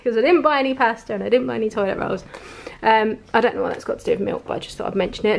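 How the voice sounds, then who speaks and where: A woman in a casual, rambling grocery haul chat, laughing now and then while showing off her shopping at home. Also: fairly high pitch. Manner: chatty, rambling, laughs often. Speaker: vlogger. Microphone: camera pointed at the table, speaker off screen.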